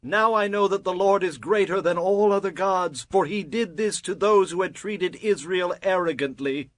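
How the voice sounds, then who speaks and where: Emphatic older patriarch giving counsel. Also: male voice, sits high, normal speed, a bit bright. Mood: joyful praise. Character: earnest older father-in-law, wise, caring, firm advisor. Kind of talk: dramatized scripture acting.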